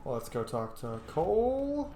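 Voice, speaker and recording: A man records casual gameplay commentary in an echoey room with faint noise behind him. He thinks out loud and mutters to himself as he goes, talking like a player to his viewers. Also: high voice, huge pitch swings, unhurried. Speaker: video game player narrating a roleplay playthrough. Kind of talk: casual let's-play commentary. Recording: roomy echo, background noise.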